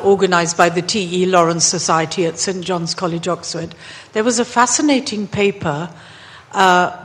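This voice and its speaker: A woman in the audience asking a question, halting and full of fillers. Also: medium pitch, long reverb tail.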